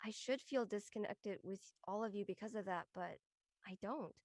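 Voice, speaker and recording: A woman's voice: medium pitch, moderate pace, a little mellow, dry recording, clean studio sound, lightly acted. A young woman acting a sincere, conversational college student in an online drama. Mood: reflective, subdued. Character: earnest young Filipino-American college woman, frank among friends.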